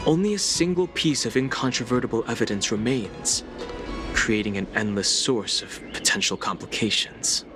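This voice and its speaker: An actor playing a calm, serious, analytical young man in a video game, speaking in measured, formal phrases. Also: medium pitch, lightly acted. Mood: grave, pondering. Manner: measured, grave, formal wording. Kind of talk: English video game voice acting. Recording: loud background music under the dialogue. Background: game music.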